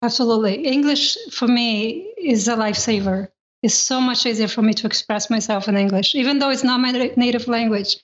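A woman's voice: fairly high pitch, brisk, hardly any pauses. A woman whose native language is Portuguese, speaking English earnestly and emphatically as a podcast co-host in a casual panel chat. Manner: earnest, emphatic, tells personal preferences. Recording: dull lo-fi remote audio.

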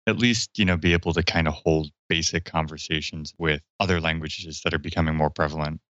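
A man with a very deep yet bright, forceful voice makes direct, thoughtful remarks in casual podcast talk. The recording is dry and dull. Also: fairly even intonation, brisk, no room sound. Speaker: podcast co-host. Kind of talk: casual panel chat.

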